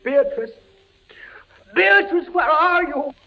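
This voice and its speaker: An actor playing a fevered, terrified prisoner of the Inquisition in an old-time radio horror drama, halting, breathless and haunted. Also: male voice, extremely high pitch, lively intonation, vocal fry, full character voice. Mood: frantic terror. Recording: muffled vintage radio recording with music.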